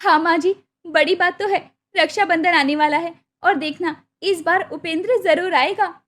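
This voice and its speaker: A woman's voice: very high-pitched, fairly even intonation, normal speed, fairly bright tone. A woman voicing a hopeful, devoted young village sister in a cartoon story, earnest and pleading. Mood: happy, hopeful. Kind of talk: Hindi cartoon moral story acting.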